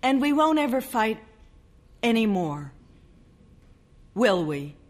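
A woman's voice: on the high side, sing-song, slow, voice pushed up, full character voice. A woman voicing a young newlywed husband who soothes and coaxes, a little exasperated, during a short story reading. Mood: protesting. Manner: coaxing, soothing.

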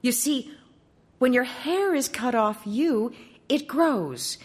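A woman voicing a gentle, devoted young prince who speaks earnestly and tenderly. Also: medium pitch, lively intonation, normal speed, close to an everyday voice.

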